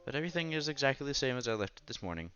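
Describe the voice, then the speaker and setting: A man's voice: medium pitch, big pitch swings, racing, a bit bright, clear, resonant tone, dull audio. A man reading a visual novel aloud as a streamer, mixing narration with casual, conversational asides. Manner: breaks off to react conversationally. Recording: music under the voice. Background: background game music.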